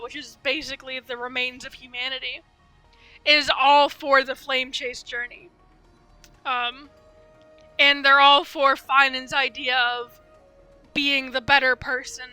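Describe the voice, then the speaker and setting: Female live game commentator, high voice, rambling fan musings, faint music underneath. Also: pushed and bright, no echo, poor audio. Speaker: game streamer.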